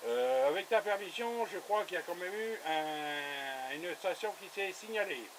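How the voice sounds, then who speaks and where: A man's voice: sits high, expressive, a little slow, background noise. A man chatting casually as an amateur radio operator, in a colloquial, easygoing way. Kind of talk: casual radio contact chat. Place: radio station with a camera running.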